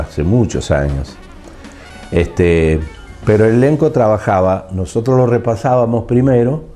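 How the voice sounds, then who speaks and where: Old argentine guy from mendoza, really deep soft-edged voice, halting interview reminiscing, faint music behind. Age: older. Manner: halting, repeats words as he searches. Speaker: veteran radio drama actor being interviewed.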